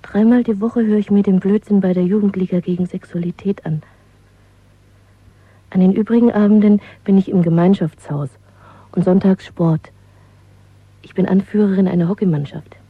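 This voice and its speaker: Woman acting bold rebellious young lover, husky breathy voice, direct manner, radio play, dry phone-like recording. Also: mid-range voice, steady tone, normal speed, pauses often, no echo, lightly acted. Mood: matter-of-fact. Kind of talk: German radio drama acting. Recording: thin, phone-like old radio sound, dry.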